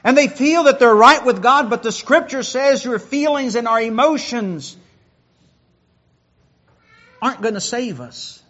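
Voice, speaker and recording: A man's voice: extremely high pitch, measured pace, pauses often, fairly bright tone, muffled recording. A man preaching a sermon who often repeats words as he builds a point.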